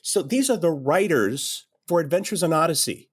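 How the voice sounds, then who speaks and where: A man's voice: fairly high pitch, animated, normal speed, husky. A man answering as an interview guest, conversational and enthusiastic, repeating phrases for emphasis. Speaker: interview guest, audio drama creator.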